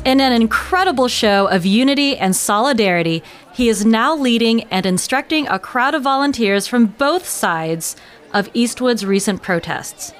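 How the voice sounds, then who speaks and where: An actress playing a poised local news reporter in an audio drama, measured and formal. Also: female voice, fairly high pitch, lively intonation, faint music behind, lightly acted. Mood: composed.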